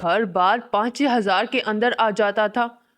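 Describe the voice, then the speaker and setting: A woman's voice: medium pitch, fairly even intonation, unhurried, loud music behind the voice, close to an everyday voice. A woman voicing a short-tempered young married man in a Hindi cartoon story, curt and irritable. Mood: angry, exasperated.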